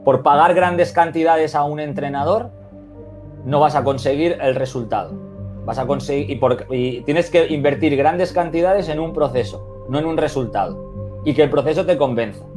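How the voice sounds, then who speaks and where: A man's voice: a little high, normal speed, darkish tone, soft background music. A man with a Spain Spanish accent talks as a football coach on a video call. He is reflective and stresses his points firmly. He often restarts his sentences and fills the gaps with "eh". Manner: reflective, emphatic, many restarts and "eh" fillers. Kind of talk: webinar interview answers.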